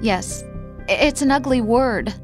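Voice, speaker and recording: A woman's voice: fairly high pitch, unhurried. An actress playing a tender, guilt-ridden young woman in a dramatic video game scene, earnest and hesitant. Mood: guilty, confessing. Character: young woman, tender, guilty spy confessing to her lover. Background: loud music.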